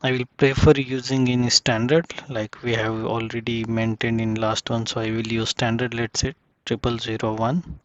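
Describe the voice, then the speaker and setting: A man giving a casual step-by-step software tutorial, speaking in short, halting phrases as he works through the screen. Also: mid-range voice, level tone, no room sound, lo-fi sound.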